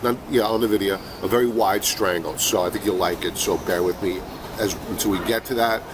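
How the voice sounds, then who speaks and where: Casting: lower-voiced middle-aged man with a head cold, chatty trading show host, city traffic clearly audible behind. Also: steady tone, a little fast. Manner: conversational, many fillers and restarts. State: has a cold, sniffling. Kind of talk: casual market commentary.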